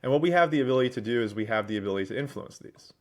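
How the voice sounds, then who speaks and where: A man narrating a business software demo in a businesslike, explanatory way, with wordy explanations. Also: medium pitch, lively intonation, very fast. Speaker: presenter, managing director at a software consultancy.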